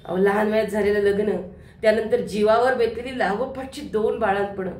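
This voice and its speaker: A woman's voice: mid-range voice, steady tone, normal speed, a little mellow, a little hiss or hum, close to an everyday voice. A woman acting on stage as a young daughter who is coy, sarcastic and reproachful. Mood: sarcastic, indignant. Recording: echoey hall, distant microphone.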